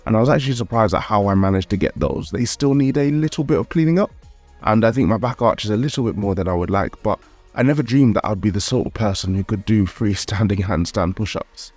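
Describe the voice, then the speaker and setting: A man's voice: fairly low pitch, expressive, quick pace, darkish tone, soft background music. A man narrating his calisthenics vlog in a candid, upbeat way, sounding a little nasal from a cold. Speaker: calisthenics vlogger.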